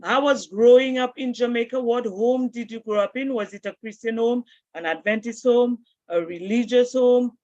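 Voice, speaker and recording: A woman's voice: on the high side, normal speed, mellow, no room sound. A woman hosting an online interview and greeting her guest and audience over a video call. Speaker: interview host. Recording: dull dry video-call audio.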